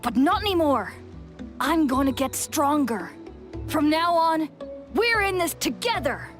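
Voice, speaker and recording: Earnest, high-pitched young sibling. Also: female voice, lively intonation, unhurried, soft background music. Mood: earnest, determined. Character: earnest, loyal young sibling determined to grow stronger. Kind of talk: heartfelt video game dialogue.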